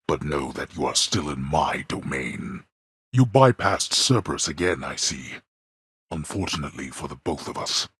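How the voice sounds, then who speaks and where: A man voicing a stern, scornful god of the dead and imperious father in a video game, with a booming, gravelly voice and formal, archaic phrasing. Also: fairly bright tone, no echo, clean studio sound, exaggerated voice. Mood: solemn, cold. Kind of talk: video game character voice acting.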